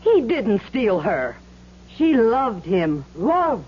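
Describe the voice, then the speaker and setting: An actress playing a tough, weary fake medium with a conscience in a seventies radio drama, speaking with emotion. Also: female voice, medium pitch, sing-song, extremely slow, breathy edge, full character voice. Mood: defiant. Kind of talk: 1970s radio drama acting. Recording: dull lo-fi old radio broadcast.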